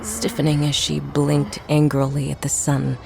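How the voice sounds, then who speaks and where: A woman's voice: low-pitched, measured pace, creaky. A woman giving a scripted narration of a horror audio drama. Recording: music and crowd noise behind narration. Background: music, crowd sounds.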